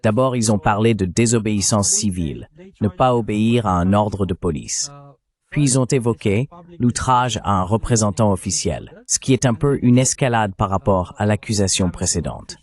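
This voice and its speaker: Calm low-voiced man explaining. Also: moderate pace. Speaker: interviewee, protester. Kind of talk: interview answers, voiced over in French.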